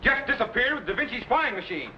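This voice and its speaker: An actor playing a commanding time-travelling captain with authoritative, dramatic delivery in an old children's sci-fi serial. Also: male voice, very high voice, very animated, fast, pushed and bright, a little hiss or hum, exaggerated voice, poor audio. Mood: urgent, commanding.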